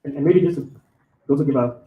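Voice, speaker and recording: A man's voice: mid-range voice, fast, echoey room, phone-quality sound. A man from Kenya speaking on a live café panel, restarting often and repeating words as he searches for phrasing, in a mostly neutral accent. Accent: neutral English, faint Kenyan.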